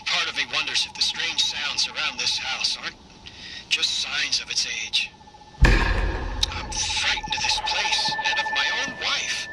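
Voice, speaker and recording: Anxious doctor, highly animated voice. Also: male voice, very noisy. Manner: weary, confessional. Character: anxious, cowering doctor husband keeping a recorded journal. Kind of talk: dramatic diary monologue in horror game.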